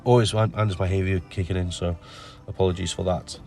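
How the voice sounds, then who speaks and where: A man with a nasal voice from a cold, explaining his gym workout in a relaxed voice-over full of ums. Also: sits low, fast talker, soft background music. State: has a cold. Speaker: bodybuilder narrating his workout. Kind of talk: casual voice-over commentary.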